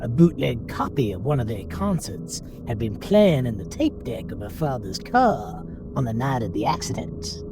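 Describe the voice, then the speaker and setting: A man narrating a scripted horror story in a measured, dramatic storytelling manner. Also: mid-range voice, sing-song. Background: music.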